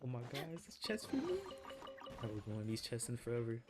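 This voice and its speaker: A man's voice: mid-range voice, wildly swooping pitch, fast. A young man giving casual, slangy gameplay commentary as a streamer. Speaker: gaming streamer. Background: game music.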